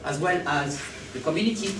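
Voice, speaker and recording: Man, French accent, conference speaker, fairly high voice, echoey hall. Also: brisk, noisy background. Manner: animated, asks the audience for agreement. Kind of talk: presenting a talk. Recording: roomy hall sound from a distance.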